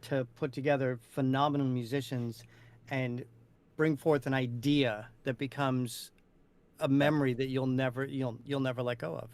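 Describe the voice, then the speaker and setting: A man's voice: medium pitch, fairly even intonation, moderate pace, a little mellow. A male co-host in a casual music chat, speaking effusively and repeating words for emphasis.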